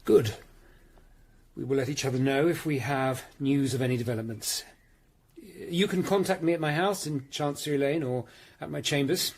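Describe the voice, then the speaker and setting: An actor playing a grave, dutiful Tudor lawyer-detective in a radio drama, speaking in a measured, formal and earnest way. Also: male voice, medium pitch, darkish tone, husky. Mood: guarded, businesslike. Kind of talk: radio drama acting and first-person narration.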